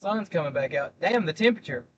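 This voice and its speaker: A man's voice: fairly high pitch, lively intonation, fast talker, a bit bright, dull audio, slight background noise. A young man chatting casually and joking with passengers while driving, hosting a storm chasing livestream. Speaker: storm chaser host. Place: inside a car.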